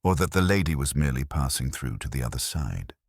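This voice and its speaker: Man as a pompous, very deep-voiced councillor. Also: animated, fairly quick, no echo, clean studio sound, full character voice. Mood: pompous, musing. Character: pompous, speculating male town councillor.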